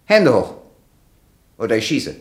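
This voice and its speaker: An actor playing a jumpy armed lieutenant barking challenges on guard in a radio drama. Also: male voice, medium pitch, sing-song, unhurried, slightly rough. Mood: barking, threatening. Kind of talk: German radio drama acting. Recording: very noisy old radio recording.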